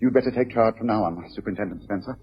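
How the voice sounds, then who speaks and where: An actor playing a brisk, self-assured detective with a decisive, explanatory manner in a period radio drama. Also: male voice, lowish voice, lightly acted. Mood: commanding. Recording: dull old radio recording, faint hiss.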